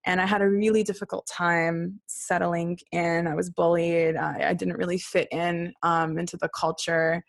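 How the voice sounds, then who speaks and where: Lower-pitched woman, close and dry. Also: flat delivery, moderate pace, gravelly, few pauses, dry recording. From born in Australia, raised in Israel and Brisbane. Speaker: podcast guest, singer-songwriter. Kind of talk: casual personal storytelling in an interview.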